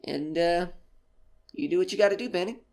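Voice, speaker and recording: A man playing a sly, genial but menacing supernatural debt collector in an audio horror drama, drawling and teasing with casual pauses. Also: sits high, darkish tone. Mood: casually persuasive.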